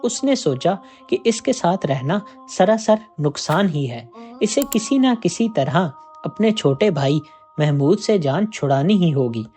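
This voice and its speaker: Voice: medium pitch, animated, measured pace, mellow, soft background music. A narrator reading a scripted moral tale as a storyteller.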